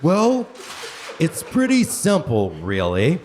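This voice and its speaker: A man's voice: fairly high pitch, huge pitch swings, slow, noisy background, exaggerated voice. A man playing an earnest, dramatic son from the future in a comedic live radio play, theatrical and prone to over-explaining. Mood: matter-of-fact, rambling.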